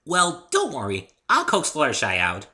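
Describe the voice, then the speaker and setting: A bubbly young cartoon mare played by a man, pitched far up and swooping about. The delivery is cheerful, innocent and tactlessly loud, with a bright, forceful edge, as in a homemade storybook reading. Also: huge pitch swings, moderate pace, exaggerated voice. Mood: coaxing. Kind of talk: amateur dramatic story reading.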